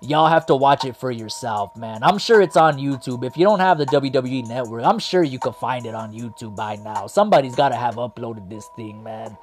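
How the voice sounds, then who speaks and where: An excited man, rambling through a wrestling recap and losing his voice as he goes. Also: mid-range voice, a little fast, hardly any pauses, soft background music. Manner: hyped, rambling, heavy on "man" and "like". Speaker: wrestling show host. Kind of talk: excited casual commentary.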